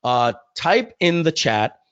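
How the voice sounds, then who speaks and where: A man with a slightly hoarse voice, chatting informally and directly to his audience as he hosts a live online webinar. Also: a little high, sing-song, takes it slowly, fairly bright tone, no room sound. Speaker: company founder hosting a webinar. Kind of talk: casual live webinar chat. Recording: muffled, close dry webinar audio.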